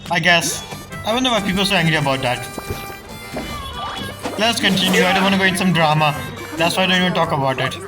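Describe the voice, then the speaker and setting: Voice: medium pitch, animated, quick pace, long pauses, low-quality recording. Casual game streamer over music. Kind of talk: casual reacting and reading game dialogue aloud.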